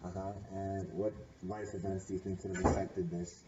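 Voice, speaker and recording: A man's voice: deep voice, little pitch movement, normal speed, mellow, recorded from a distance, slight background noise. A man interviewing a friend, asking questions hesitantly with fillers. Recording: muffled voice from off the mic.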